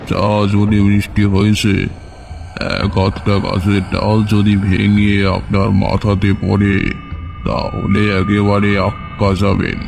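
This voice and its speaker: A man's voice: fairly low pitch, monotone, unhurried, music in the background, pitched below the performer's own voice. A man voicing a mysterious stranger in a cartoon ghost story, with a heavy voice and an insistent, beckoning manner. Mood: eerie, urging. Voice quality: heavy, creaky.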